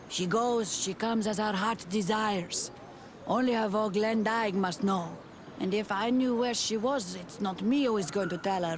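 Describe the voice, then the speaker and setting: A woman's voice: medium pitch, slight background noise, natural voice. A woman playing a wary, brusque villager of a fantasy island clan in a video game. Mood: curt, suspicious.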